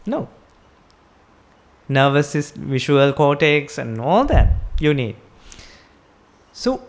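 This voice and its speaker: A woman with a Sri Lankan accent giving a Buddhist sermon, explaining step by step and asking her listeners rhetorical questions. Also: fairly low pitch. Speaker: Buddhist teacher.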